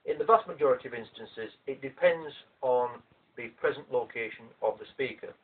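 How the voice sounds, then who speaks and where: A man with a South Yorkshire accent talks through a language lesson, slow and methodical. His voice is a little husky. It sounds tinny, as if through a phone line, and he is some way from the phone's mic. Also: medium pitch. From South Yorkshire, England. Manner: measured, methodical explaining. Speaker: language teacher. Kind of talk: explanatory video lesson. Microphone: mobile phone camera. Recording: tinny, distant phone recording.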